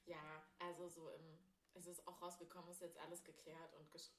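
A woman's voice: sits low, little pitch movement, normal speed, echoey room, very noisy. A woman in her late twenties from southern Thuringia, hosting a casual podcast chat, giggly and full of fillers and self-corrections. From southern Thuringia, Germany. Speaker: podcast host.

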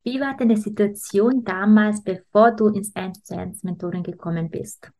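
A woman's voice: medium pitch, fairly even intonation, normal speed, a little mellow. A woman in her thirties speaking as a podcast host, introducing and interviewing. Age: 30s.

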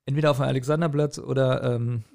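A man chatting casually as a podcast co-host, telling personal stories in an animated way and often chuckling. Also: mid-range voice, fast talker, creaky. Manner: animated storytelling, frequent chuckling. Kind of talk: casual chat, personal anecdotes.

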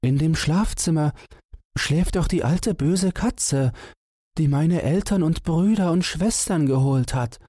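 A man's voice: a little high, takes it slowly, warm tone, dry recording, clean studio sound, pitched above the performer's own voice. A man voicing a timid little female mouse who pleads and begs in a storybook reading. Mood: pleading. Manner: pleading, plaintive.

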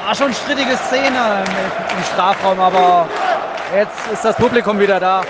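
Guy with high voice doing live football podcast commentary outside in a stadium, crowd loud behind him. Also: unhurried. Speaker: podcast host. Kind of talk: live match commentary from the stands. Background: crowd noise and applause.

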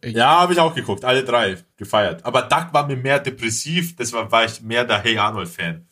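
Male panelist, mid-pitched, very bright sharp tone, excitable slangy chat. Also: normal speed. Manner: enthusiastic, slangy. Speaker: podcast panelist. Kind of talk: casual chat.

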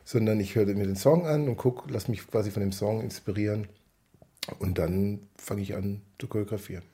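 This is a man with a head cold, talking in a husky, slightly rough voice. His tone is low and mellow. He chats in an upbeat, loose way as a podcast guest. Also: moderate pace. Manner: upbeat, chatty. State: has a cold. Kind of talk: casual chat.

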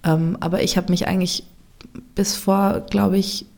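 A woman chef in a casual interview, speaking reflectively and often pausing to search for words. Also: mid-range voice, flat delivery, creaky, darkish tone. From Germany.

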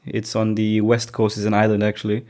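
A man's voice: on the low side, completely flat delivery, brisk, a little mellow. A young man from Malaysia, an international student, reflecting aloud in a casual interview chat with frequent restarts.

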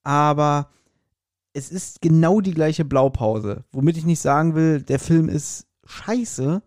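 Man, fairly high mellow voice, dry close sound, podcast co-host, opinionated, slangy, casual. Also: slow, dry recording. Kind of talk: casual chat.

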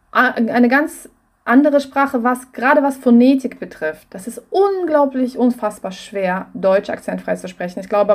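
Chatty woman with a Russian background. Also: mid-range voice. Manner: lively, enthusiastic, many fillers. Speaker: comedian guest. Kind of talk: casual interview chat.

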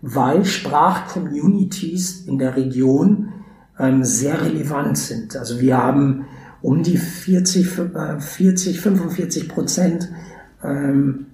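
Man, warm voice, roomy sound. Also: a little high, slow. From Brazil. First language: Portuguese. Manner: thoughtful, hesitant with frequent fillers and restarts. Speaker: podcast guest, theatre educator. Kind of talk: conversational interview. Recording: roomy echo, faint background hiss.

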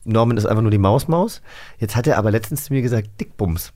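A man's voice: lowish voice, lively intonation, fast, noisy background. A man chatting casually and playfully, telling little anecdotes in the banter of a quiz game.

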